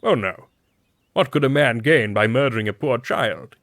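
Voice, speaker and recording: A man voicing a grave, suspicious army officer in a dramatic story reading, measured and firm. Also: medium pitch, fairly bright tone, no room sound, clean studio sound, close to an everyday voice. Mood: mild, probing.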